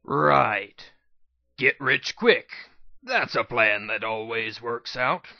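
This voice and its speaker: A man voicing a sardonic, weary male pony in a deadpan manner for a storybook reading. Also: mid-range voice, slow, gravelly, no room sound, highs cut off.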